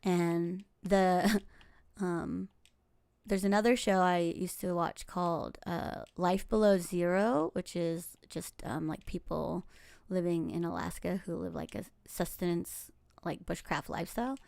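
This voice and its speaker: A woman in her forties, a game streamer from Los Angeles whose first language is Tagalog, chatting casually through gameplay in a rambling way, with fillers, soft laughs and whispered asides. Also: fairly low pitch, fairly even intonation, a little slow, creaky, no room sound. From Los Angeles, United States.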